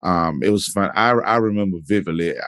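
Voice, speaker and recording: A man's voice: low voice, a little fast, a bit bright, dry recording, clean studio sound. A man hosting a podcast interview, talking in a laid-back, casual way and dropping plenty of "man" and "you know". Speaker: podcast host.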